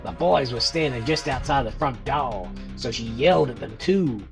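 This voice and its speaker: Woman doing scripted horror narration, medium-low voice, music clearly behind her. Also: animated, moderate pace.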